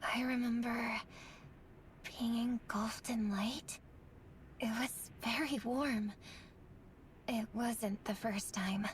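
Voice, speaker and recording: A woman playing a soft-spoken, earnest young fantasy heroine in a video game. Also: on the high side, fairly even intonation, slow, pauses often, a little hiss or hum. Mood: dazed, gentle. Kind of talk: video game cutscene acting.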